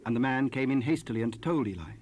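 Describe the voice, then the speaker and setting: A man's voice: medium pitch, lively intonation, fast, darkish tone. A man narrating scripture in archaic English for a dramatized Bible recording. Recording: noisy raw cassette rip.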